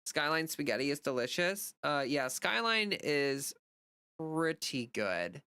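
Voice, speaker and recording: A woman's voice: low voice, sing-song, slow pace, sharp tone, smooth, dry recording. An American woman livestreamer chatting casually and off the cuff, rambling informally as she answers viewer comments. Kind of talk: casual unscripted chat.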